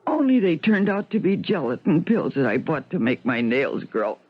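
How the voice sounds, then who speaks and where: An actress playing a distraught, suicidal wife in 1970s radio drama, halting, rambling and bitterly flippant. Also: female voice, deep voice, sing-song, slightly rough, full character voice. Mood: woozy, insistent. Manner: halting, rambling, self-questioning. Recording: muffled, thin 1970s radio broadcast.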